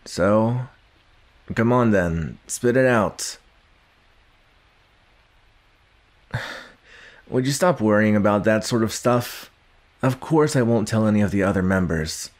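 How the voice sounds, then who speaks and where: A young man playing a gruff, guarded but secretly caring villain in an ASMR roleplay, speaking haltingly with sighs and grudging tenderness. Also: on the low side, lightly acted. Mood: gruff teasing. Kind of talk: ASMR roleplay addressed to the listener.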